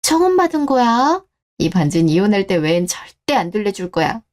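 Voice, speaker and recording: A woman playing an affectionate, easily flustered young girlfriend in a radio drama, speaking softly and expressively. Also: mid-range voice, sing-song, fairly quick, lightly acted. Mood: flustered, happy. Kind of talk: Korean radio drama dialogue.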